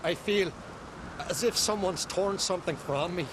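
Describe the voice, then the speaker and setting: A man's voice: sits high, normal speed, background noise, close to an everyday voice. A man voicing a confused, tormented island lord in a fantasy video game, sounding dazed and distressed. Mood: dazed, lost.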